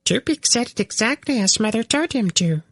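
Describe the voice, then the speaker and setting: A man voicing a small, furry young female fenling creature who answers calmly in a very high, piping voice. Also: moderate pace, no room sound, full character voice. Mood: sad. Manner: very high piping, calm and plain.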